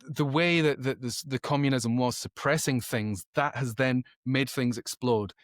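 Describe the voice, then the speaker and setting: A man's voice: medium pitch, measured pace, no room sound, studio quality. A man speaking as a podcast guest in conversation, emphatic and opinionated, often repeating words as he thinks. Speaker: podcast guest, author and creativity educator.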